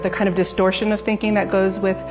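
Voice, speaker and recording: A woman who works as a mental health professional, explaining in a calm, measured way. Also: mid-range voice, in a large hall, music in the background. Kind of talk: explanatory interview.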